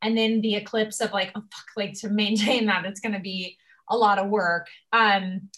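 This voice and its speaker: A young woman at a middling pitch, hosting a relaxed talk. She speaks effusively and emotionally, with lots of fillers between thoughts. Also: normal speed. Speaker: host. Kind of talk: casual conversation, interviewing.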